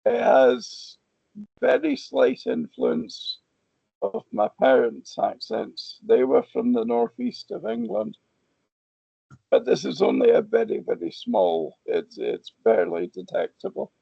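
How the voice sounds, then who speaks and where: A man from the Scottish Borders speaks with a matching accent, slowly and carefully explaining things. His voice is pitched fairly high yet thick with gravelly fry, and dark and husky in tone. The recording is dry and a little muffled. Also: sing-song, dry recording. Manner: measured, explanatory. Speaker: linguist interviewee. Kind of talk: interview answers.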